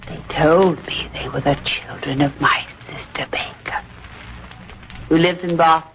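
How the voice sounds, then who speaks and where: An actress playing a fearful, haunted matron who gives halting, dramatic testimony in an old radio drama about a witch trial. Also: low voice, steady tone, a bit bright, whispered, poor audio. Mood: hushed dread. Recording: old radio recording with faint noise.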